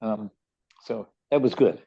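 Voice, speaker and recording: An older man with a Baltimore accent tells stories casually on the radio, restarting and repeating words as he goes. His voice is dark and mellow, and the recording sounds a little dull and lo-fi. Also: fairly low pitch, a little slow, gravelly, husky. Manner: rambling, many restarts and stammered repeats. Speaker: radio show host. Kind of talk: casual storytelling chat.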